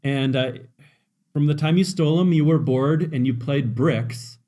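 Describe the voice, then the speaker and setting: A man's voice: fairly high pitch, measured pace, mellow. A man hosting a podcast, chatting casually and enthusiastically with a guest, laughing often and using filler words. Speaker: podcast host. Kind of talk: casual interview chat.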